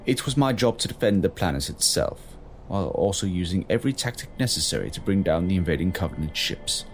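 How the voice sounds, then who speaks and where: A man playing a grave, kindly fleet captain in an audio drama, speaking formally and in a measured, solemn way. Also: low voice, animated, slight background noise. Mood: formal, cordial.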